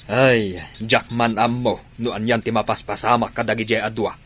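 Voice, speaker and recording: A man playing a nosy, incredulous father in an Ilocano radio drama, with a husky voice. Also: lowish voice, level tone, moderate pace, a bit bright. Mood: curious, surprised. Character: nosy, incredulous father gossiping with his child.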